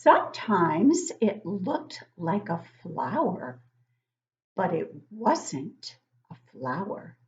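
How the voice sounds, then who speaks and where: An older woman reads a picture book aloud to young children like a teacher, expressively, pausing to ask them questions. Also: on the low side, very animated, lo-fi sound. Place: living room at home, indoors.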